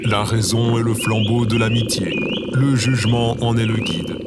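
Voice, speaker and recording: A man playing a moody, blasé adolescent cat with a deadpan, declarative delivery in an audio drama. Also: medium pitch, normal speed, very noisy, close to an everyday voice. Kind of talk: scripted audio fiction acting.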